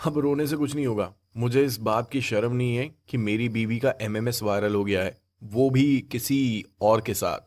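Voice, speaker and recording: A man playing a hurt, dutiful young husband in an audio story, speaking in a measured, reproachful way. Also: mid-range voice, darkish tone, natural voice. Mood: hurt, restrained. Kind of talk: acted Hindi audio story dialogue.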